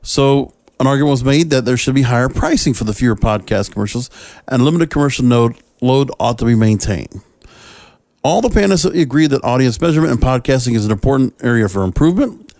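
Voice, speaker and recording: A man's voice: medium pitch, lively intonation, quick pace, darkish tone, dry recording. Dry-sounding male podcast host, emphatic and conversational. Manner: conversational, emphatic, rambling with rhetorical check-ins. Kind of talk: opinionated solo commentary, part reading from notes.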